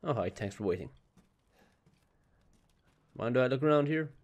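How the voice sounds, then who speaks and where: Raspy-voiced man joking through a game. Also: mid-range voice, lively intonation, moderate pace. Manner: joking, teasing game characters. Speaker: gameplay streamer. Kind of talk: casual let's-play commentary. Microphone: headset.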